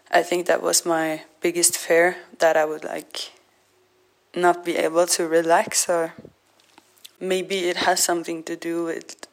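Slow, low-voiced woman over music. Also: dry recording. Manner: hesitant, thoughtful, pausing between short phrases. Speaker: musician telling her own story. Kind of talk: reflective personal narration. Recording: music behind the voice.

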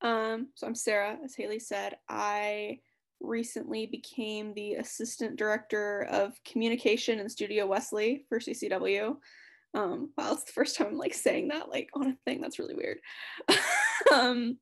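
A woman's voice: medium pitch, steady tone, normal speed. A woman in church communications, talking casually and giggly, with frequent "like". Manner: giggly, casual, says "like" often. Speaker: assistant director of communication.

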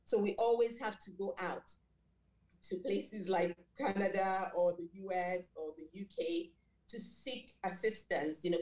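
Low-voiced Nigerian woman in an echoey room. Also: a little mellow. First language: Yoruba. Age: middle-aged. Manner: measured, with many fillers and self-corrections. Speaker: guest, school director and behavior analyst. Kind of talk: interview answers.

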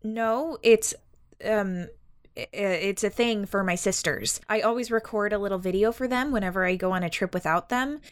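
A woman's voice: mid-range voice, normal speed, close to an everyday voice. Woman playing an earnest, polite young woman. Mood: polite, hesitant. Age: young adult. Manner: hesitant, gently defensive. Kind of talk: audio drama acting, conversational.

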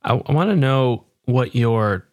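A man's voice: mid-range voice, lively intonation, measured pace, darkish tone, no room sound, studio quality. A man hosting a casual interview, asking inquisitive questions and listening attentively. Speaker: podcast host, interviewer.

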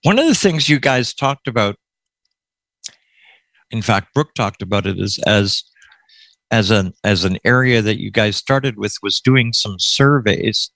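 A man hosting a panel discussion, speaking in a measured, wry way. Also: lowish voice, lively intonation, vocal fry, fairly bright tone, no room sound. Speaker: host.

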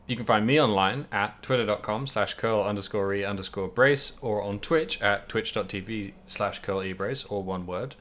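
A man's voice: lowish voice, normal speed, hardly any pauses, fairly bright tone, a little hiss or hum. A man co-hosting a podcast, chatting casually about video games. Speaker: podcast co-host.

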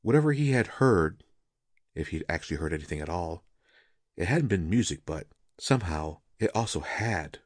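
A man's voice: sits low, expressive, moderate pace, no room sound, clean studio sound. A man reading a scripted fantasy story as a dramatic narrator.

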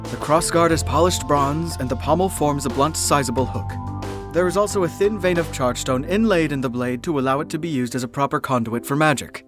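An actor playing a haughty, formal alien prince in an audio drama, declaiming in a pompous, elaborate manner. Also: male voice, a little high, a little fast, a bit bright, soft background music, natural voice. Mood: stately, reluctant.

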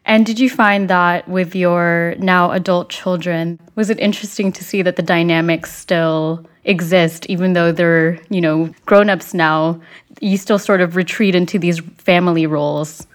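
A woman hosting an interview, asking questions in a calm, conversational way. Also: on the low side, unhurried. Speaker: interviewer, host.

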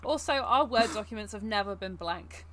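A woman's voice: mid-range voice, expressive, normal speed, very noisy. A woman in her twenties from the UK co-hosting a podcast, making dry, wry quips in casual chat. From United Kingdom. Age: 20s. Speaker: podcast co-host.

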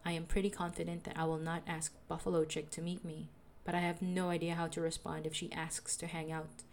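A young woman with a soothing voice, calmly narrating a personal audio diary as a podcaster. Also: low-pitched, a little fast, a little hiss or hum. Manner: calm, reflective, confessional.

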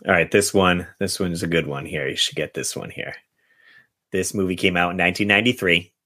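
Male podcast host, low voice, informal rambling movie chat. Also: fairly even intonation, fairly quick, sharp tone. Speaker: podcast host running a guessing game.

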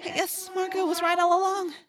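Lady doing a trapped teen girl in an audiobook, way high, soft and pleading, brave but grim. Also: normal speed, far from the mic, faint music behind. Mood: sad, resigned. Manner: hushed, urgent, pleading.

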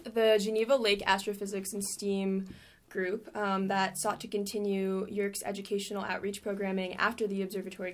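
A young woman reporting campus news from a recording booth, reading with frequent "um" fillers. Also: medium pitch.

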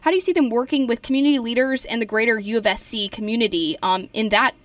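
A woman's voice: fairly high pitch, fast talker, no room sound. A woman interviewer asking long, winding questions. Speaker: student interviewer. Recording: dry, distant mic.

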